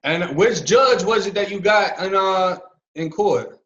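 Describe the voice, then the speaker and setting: A young man with a high voice hosts in a relaxed, friendly way, leaning on street slang. The room is echoey, and the recording sounds dull and low-fidelity. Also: unhurried. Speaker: podcast host, former prisoner. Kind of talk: casual interview.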